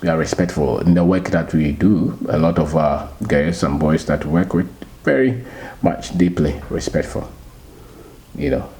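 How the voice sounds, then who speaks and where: Very deep-voiced Ghanaian man. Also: mellow. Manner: unhurried, repeats words and restarts, chuckles at himself. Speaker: channel host. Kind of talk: casual talk to camera.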